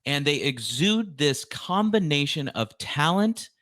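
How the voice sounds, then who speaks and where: A man's voice: a little high, sing-song, slow, a bit bright, no room sound, clean studio sound. A man hosting an interview podcast, talking conversationally in an effusive, emphatic way.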